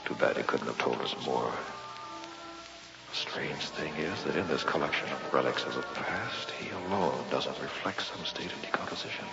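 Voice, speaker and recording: An actor playing a watchful investigator in an old radio drama, speaking in clipped, dramatic phrases. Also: male voice, very deep voice, moderate pace, creaky, over loud music. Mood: weary, musing. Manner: clipped musing monologue, dramatic. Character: weary, watchful male investigator guarding a museum at night. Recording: muffled, echoey vintage radio recording.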